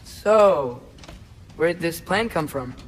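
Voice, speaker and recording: A man's voice: fairly high pitch, expressive, slow, faint music behind. An actor playing an earnest, curious teenage boy in a video game, talking casually and a bit hesitantly. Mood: questioning. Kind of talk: cinematic video game acting.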